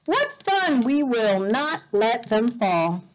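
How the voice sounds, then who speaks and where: A middle-aged woman teacher reads a picture book aloud to young learners, explaining simply, deliberately and expressively, repeating words. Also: a little high, big pitch swings. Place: indoor room at home.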